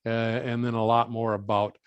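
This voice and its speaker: A man speaking as a guest presenter, answering questions informally in a plain-spoken, halting, step-by-step way. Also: medium pitch, little pitch movement, clear, resonant tone, no echo, dull audio. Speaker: guest presenter and volunteer coordinator.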